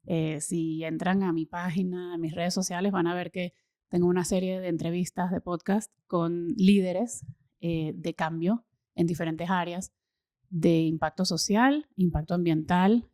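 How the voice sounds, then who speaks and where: A Venezuelan woman in her thirties, talking enthusiastically as an interview guest and often pausing with fillers and repeated words. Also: lowish voice, fairly even intonation, mellow, no room sound, clean studio sound. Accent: Venezuelan Spanish. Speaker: guest, social impact consultant. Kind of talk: casual interview conversation.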